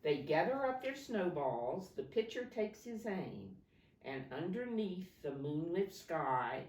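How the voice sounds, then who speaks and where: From a distance in a roomy, echoing space, a woman reads a storybook to small children. Her voice is low but very animated in its rise and fall. She speaks in a sing-song, child-directed way, pointing things out and asking simple questions. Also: slow pace. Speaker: preschool teacher reading a storybook to children. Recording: roomy echo, distant microphone.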